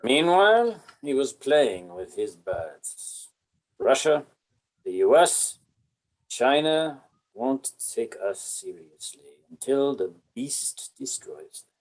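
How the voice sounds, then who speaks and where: A man's voice: mid-range voice, very animated, takes it slowly, low-quality recording. A man playing a ruthless, scornful military general in an amateur table read.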